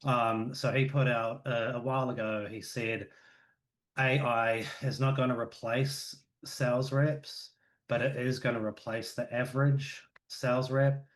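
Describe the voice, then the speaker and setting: A man from New Zealand with a New Zealand accent, chatting casually as a podcast guest, relaxed and full of fillers. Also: medium pitch. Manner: relaxed, filler-heavy, with quick asides.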